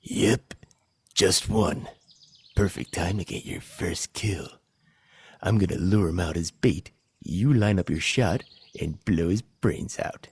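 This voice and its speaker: A man playing a bossy, insecure zombie hunter in a comedic audio play, with a husky voice and an excitable, commanding delivery. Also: low voice, slow pace, heavily acted. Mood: hushed, instructing. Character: bossy, insecure male zombie-hunting team leader.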